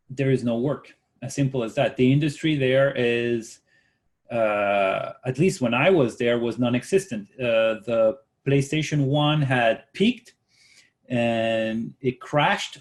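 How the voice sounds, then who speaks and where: Man, forties, spanish native speaker, workshop instructor, laid-back rambling talk, ums and restarts. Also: medium pitch. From Latin America. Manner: relaxed, rambling, many fillers and restarts. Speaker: workshop instructor, concept artist. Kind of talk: casual online workshop talk.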